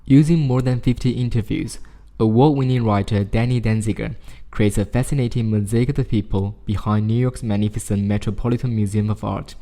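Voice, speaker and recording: A man reading short study passages aloud in a measured, formal way. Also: lowish voice, fairly even intonation, mellow. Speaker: reader of practice passages. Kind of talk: scripted read-aloud of study texts.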